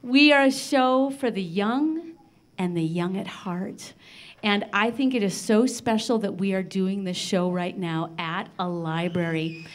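A middle-aged American woman from western Nebraska presenting a live children's radio show, speaking directly to listeners in simple, deliberate phrases. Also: medium pitch. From western Nebraska, United States. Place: large library event room with seated audience. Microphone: microphone on a stand, close in front.

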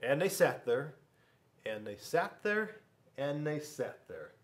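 A middle-aged man reads a picture book aloud to children in a classroom. His voice is fairly high for a man and very lively, with expressive, dramatic pauses. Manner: expressive, dramatic pauses, questions to young listeners. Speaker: school resource officer reading to children. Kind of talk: animated storybook read-aloud. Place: small school classroom, indoors.

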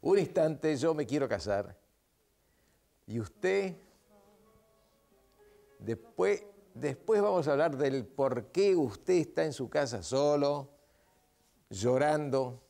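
A man's voice: a little high, animated, slow pace, creaky, pauses often, warm tone, soft background music. An older man with an Argentine Spanish accent hosting a TV matchmaking show, coaxing and playful, with short repeated questions. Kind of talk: studio interview and address to camera. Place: TV studio set. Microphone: lapel.

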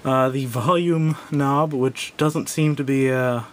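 Male reviewer, medium pitch, casual and unscripted, halting and self-correcting, home recording with slight rumbling noise. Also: steady tone. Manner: hesitant, rambling, self-correcting. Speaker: product reviewer. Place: indoors at a wooden table.

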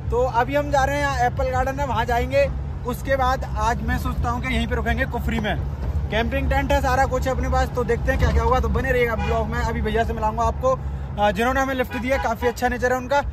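A young man in his twenties vlogging by phone at arm's length, chatting excitedly to viewers outdoors and in moving cars. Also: very high-pitched, quick pace, a crowd in the background. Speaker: travel vlogger. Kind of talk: casual travel vlog to camera. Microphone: phone held at arm's length. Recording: outdoor street noise. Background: traffic and wind noise.

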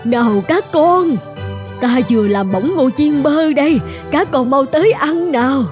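A woman's voice: high-pitched, faint music behind. A woman playing an elderly, motherly peasant wife in a fairy-tale cartoon, speaking expressively. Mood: soothing. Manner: expressive, drawn-out exclamations.

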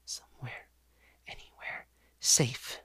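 A man's voice: takes it slowly, a bit bright, no room sound. A man reading an audiobook, voicing a wounded, exhausted young girl who pleads in a faint whisper. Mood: desperate.

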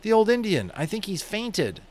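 Man playing a nervous young boy, raised pitch, urgent, pleading. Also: lively intonation, brisk, a bit bright. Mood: worried. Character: young boy, eager and anxious.

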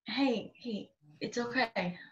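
A woman playing a kind, practical young resistance mechanic, gentle and sincere, in a fan table read. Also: on the high side, slow pace. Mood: soothing. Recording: dull video-call audio.

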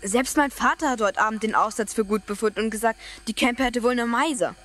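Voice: medium pitch, fairly quick, sharp tone, lightly acted. A performer playing a friendly, earnest young schoolboy in a children's radio play. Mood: aggrieved.

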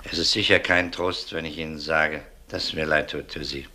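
An actor playing a shrewd, methodical middle-aged police detective in a German crime radio play, measured, probing and courteous. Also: male voice, very deep voice, fairly even intonation, bright, forceful tone, exaggerated voice. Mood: sober, firm.

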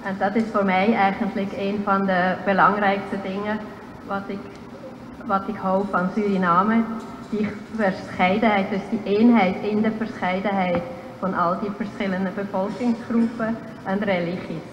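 A woman's voice: medium pitch, level tone, a little slow, noisy background. A woman who started the exhibition explains her work thoughtfully, with small restarts. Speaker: exhibition initiator. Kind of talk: interview answer. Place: museum hall. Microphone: stand mics. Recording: echoey hall with dull sound and ambience.

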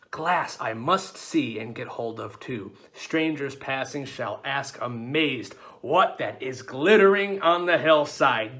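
Man acting a bright-voiced, defiant young outlawed woodsman. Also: on the high side, full character voice. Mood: dreamy, proud. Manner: theatrical, archaic phrasing, sudden swings from musing to outcry. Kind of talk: classical stage monologue.

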